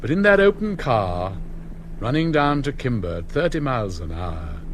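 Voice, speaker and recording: An actor plays an older, patrician man looking back wistfully on his gilded youth. His mid-pitched delivery is measured and elegiac, as in a reflective stage monologue, with faint noise behind it. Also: sing-song, natural voice. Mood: nostalgic.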